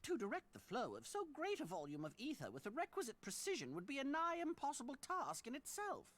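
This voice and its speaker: A woman's voice: high-pitched, huge pitch swings, a little fast. A woman playing a cautious, learned mage in a fantasy video game, speaking precisely and formally. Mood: concerned.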